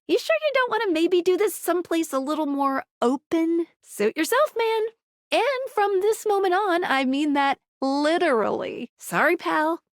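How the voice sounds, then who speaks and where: A perky video game guide with a high voice. She is slangy and playful, with very animated intonation. The recording is dry and close. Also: dry recording. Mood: breezy, encouraging. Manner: chirpy, informal, playful. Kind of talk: video game character acting.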